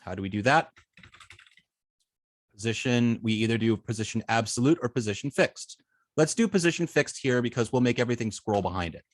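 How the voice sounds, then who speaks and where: A man giving a live coding tutorial as an instructor, explaining step by step with halting phrasing, frequent ums and self-corrections. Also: mid-range voice, expressive. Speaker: web development instructor.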